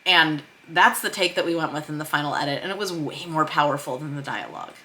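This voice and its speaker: A woman with a slightly low, bright, forward voice talks through a lesson in an informal vlog style. She thinks aloud as she goes, leaning on key words with emphasis and a self-deprecating streak. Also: quick pace. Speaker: screenwriter and director teaching script revision. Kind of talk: informal explainer vlog about script revision.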